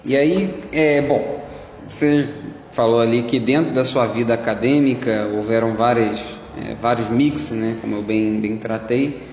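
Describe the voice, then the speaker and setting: A man's voice: mid-range voice, steady tone, unhurried, a little mellow. Man as casual interviewer; rambles and rephrases; echoey room, faint noise.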